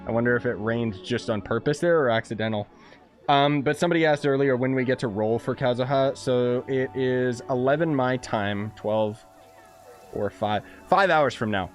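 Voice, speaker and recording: A chatty young man streaming a game, rambling over what he plays and answering chat. His voice sits mid-pitch, and soft game music plays behind him. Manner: chatty, rambling, reacts with laughs. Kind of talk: casual live gameplay commentary.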